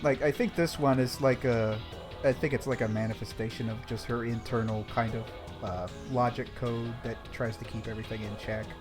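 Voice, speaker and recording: A man's voice: mid-range voice, moderate pace, over music. Laid-back man explaining a plot. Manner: relaxed, uses lots of "like" and "kind of". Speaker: podcast co-host. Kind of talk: casual discussion.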